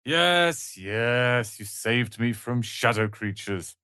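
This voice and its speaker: An actor playing a proud, irritable young male wizard apprentice in a fantasy video game, haughty, theatrical and sharp-tongued. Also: mid-range voice, lively intonation, takes it slowly, smooth, no room sound, studio quality. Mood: tense, earnest.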